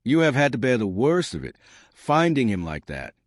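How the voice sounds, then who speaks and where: A man voicing a genteel elderly woman sleuth in an audiobook mystery, gentle, polite and quietly probing. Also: mid-range voice, sing-song, moderate pace, dry recording, studio quality, lightly acted. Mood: soothing, sympathetic.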